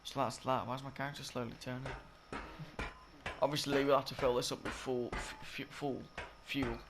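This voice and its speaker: A croaky, slightly sick young man rambling through a game-building tutorial, often correcting himself. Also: medium pitch, little pitch movement, normal speed. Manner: rambling, self-correcting, filler-heavy. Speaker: gaming tutorial creator. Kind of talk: casual gameplay tutorial commentary. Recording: loud game noise behind. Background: game sound effects and clicks.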